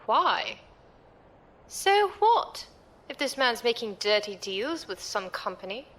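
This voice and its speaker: A woman's voice: fairly high pitch, very animated, slow pace, a bit bright, full character voice. An actress playing a curious, playful female code breaker and pirate sidekick in an audio drama, with a questioning manner. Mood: puzzled, probing.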